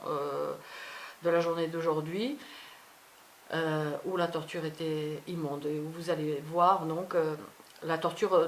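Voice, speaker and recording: Low-voiced, halting woman vlogger. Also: slight background noise. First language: not French. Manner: halting, fragmented, trailing off. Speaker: video blogger. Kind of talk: unscripted personal vlog monologue.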